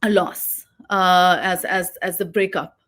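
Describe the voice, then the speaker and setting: A woman's voice: lowish voice, steady tone, slow pace, a bit bright. A woman from Pakistan, an author and oral historian, giving a long reflective interview answer in long winding sentences.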